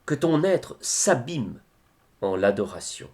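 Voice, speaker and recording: A man reciting devotional poetry in a solemn style, with measured, expressive phrasing and pauses. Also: medium pitch.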